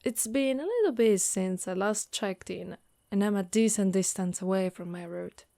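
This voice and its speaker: A woman voicing a gentle, protective undead warrior woman in an ASMR monster roleplay, speaking softly and reassuringly close to the mic. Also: mid-range voice, animated, normal speed, creaky, dry recording. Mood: protective, confident. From Italy. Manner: soft, caring, reassuring.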